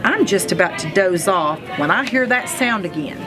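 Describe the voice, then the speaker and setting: A woman reading a children's storybook aloud in an expressive, animated storytelling manner, telling it as a first-person narrator. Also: medium pitch, normal speed, over music. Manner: animated storytelling with acted sound effects.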